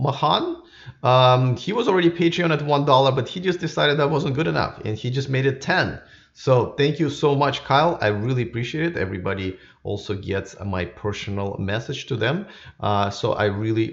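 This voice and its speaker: A man's voice: mid-range voice, vocal fry, dull audio. A man hosting a live stream with casual, unscripted commentary, rambling and often repeating his words as he goes. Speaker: YouTube live stream host.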